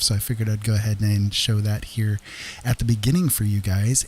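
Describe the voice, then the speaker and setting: This is an American man with an average-pitched voice hosting a casual livestream. He speaks in a friendly, rambling manner, addressing his viewers directly. His delivery is informal and reassuring. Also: dark tone, slight background noise. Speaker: live streamer host.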